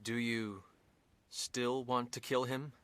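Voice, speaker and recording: A man's voice: medium pitch, steady tone, fairly bright tone, no echo. An actor playing an earnest, troubled young male hero in a video game, speaking hesitantly. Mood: wary. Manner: hesitant, halting. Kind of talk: video game cutscene acting.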